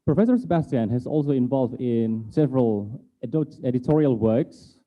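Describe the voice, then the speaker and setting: A man moderating an online conference, reading out a speaker introduction in a careful, slightly halting way. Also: medium pitch, expressive. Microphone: video call. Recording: tinny phone-like video call audio.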